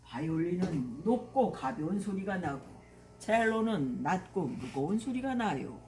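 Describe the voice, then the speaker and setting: A woman's voice: on the low side, very animated, measured pace, mellow, echoey room, distant mic, a little hiss or hum, low-quality recording. A woman voicing a patient goat schoolteacher in a storybook, explaining and putting questions to young animals. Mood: patient, instructive. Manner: instructive, addressing children with questions.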